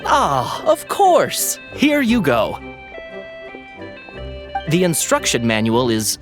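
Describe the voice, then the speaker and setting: An actor playing an eager, obsequious toy merchant in a video game, with flattering sales patter. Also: male voice, a little high, takes it slowly, music in the background, heavily acted. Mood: flustered, eager.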